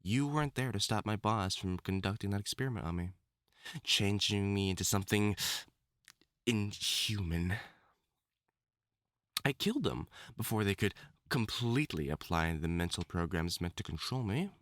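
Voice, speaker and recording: A man's voice: fairly low pitch, expressive, fairly bright tone, dry recording, studio quality. A man playing a theatrical male wolf-mutant supervillain in love, teasing and wounded, in a scripted audio roleplay spoken to the listener. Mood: wistful, earnest. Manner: theatrical, taunting, dramatic swoops and pauses.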